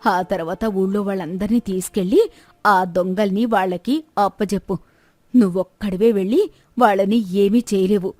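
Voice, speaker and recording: A woman's voice: mid-range voice, steady tone, normal speed, a little mellow, no echo, lightly acted. A woman voicing a commanding, scheming village woman in a story. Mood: bossy, scheming. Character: bossy, scheming village woman covering for her man.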